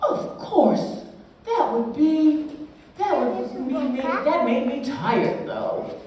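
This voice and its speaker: A woman performs puppet theater live in a very echoey space, picked up from a distance with some noise around her. Her voice is high and animated, delivering narration and dialogue. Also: very slow. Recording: echoey hall, distant mic, noisy room.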